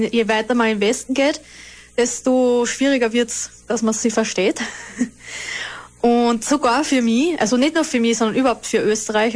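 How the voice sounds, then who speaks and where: A woman, a guest speaking slowly and hesitantly with many fillers in a casual interview. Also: on the high side.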